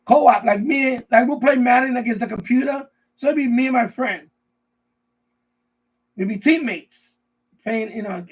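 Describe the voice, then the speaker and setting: A middle-aged man hosting a casual live stream, rambling and often repeating himself, with a husky voice. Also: extremely high pitch, low-quality recording. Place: basement.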